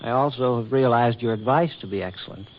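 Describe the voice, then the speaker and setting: An actor playing a courtly, earnest government official who woos in stiff, hesitant, formal speech, in 1940s radio drama style. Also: male voice, medium pitch, quick pace, close to an everyday voice. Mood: earnest, wooing. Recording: muffled, noisy 1940s radio recording.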